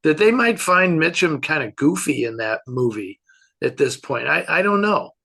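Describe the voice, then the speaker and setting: Male film pundit, higher-pitched and bright, loose conversational delivery with halting, think-aloud phrasing. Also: sing-song, gravelly, slightly rough. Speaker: film expert answering fan questions. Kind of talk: casual film discussion.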